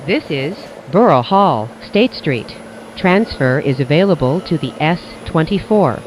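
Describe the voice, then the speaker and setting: A train announcer reading scripted automated station announcements in a measured, formulaic way. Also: medium pitch, expressive, slight background noise, low-quality recording.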